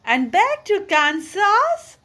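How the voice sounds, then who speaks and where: A woman's voice: extremely high pitch, very animated, takes it slowly, pushed and bright, voice pushed up, heavily acted. A woman reading a children's storybook, voicing an eager, earnest little girl with a questioning manner. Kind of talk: children's storybook read-aloud.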